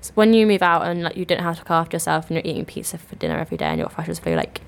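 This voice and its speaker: A young woman from Cornwall co-hosting a podcast in relaxed, chatty style, a bit ill with a cough. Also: fairly low pitch, very fast, a little hiss or hum. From Cornwall, England. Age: young adult. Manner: relaxed and chatty, agreeing often. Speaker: podcast co-host. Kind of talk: casual chat. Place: student radio studio.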